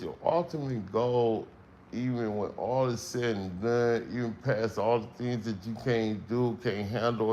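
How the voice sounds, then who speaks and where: A middle-aged man with a strong, carrying voice, speaking slowly and emphatically in a casual livestream monologue with long pauses. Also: lowish voice, a little mellow, a little hiss or hum. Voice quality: voice that carries, strong tone. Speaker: livestream host.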